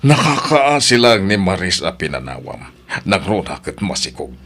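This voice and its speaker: A man's voice: sits low, big pitch swings, moderate pace, a bit bright, exaggerated voice. An actor with an Ilocano accent playing a stern, disapproving father in an audio drama.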